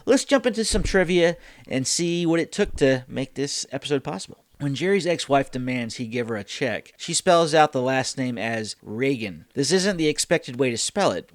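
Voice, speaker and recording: A man's voice: medium pitch, no room sound. A man hosting a reaction show, talking casually and thinking aloud as he gives rambling commentary.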